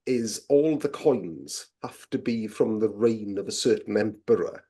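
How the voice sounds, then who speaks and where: A man's voice: on the low side, warm tone. A man co-hosting a casual film podcast, swearing freely as he explains folklore at length. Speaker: podcast co-host.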